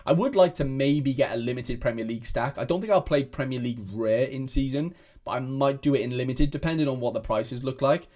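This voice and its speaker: A man's voice: mid-range voice, a little fast, few pauses, darkish tone. Rambling young man. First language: Welsh. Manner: rambling, many restarts and fillers. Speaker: fantasy football content creator. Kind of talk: casual chat to camera.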